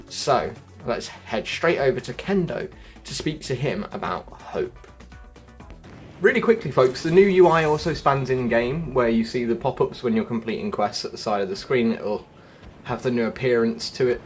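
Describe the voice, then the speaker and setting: A man hosting a gaming channel, talking viewers through a gameplay walkthrough in a chatty way and calling them folks and guys. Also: medium pitch.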